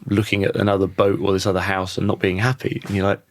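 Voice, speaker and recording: Casting: croaky man in his thirties, low voice, thoughtful and halting, dry close-miked sound. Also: completely flat delivery, no room sound. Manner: thoughtful, restarts sentences often. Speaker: podcast guest. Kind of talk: reflective conversational interview.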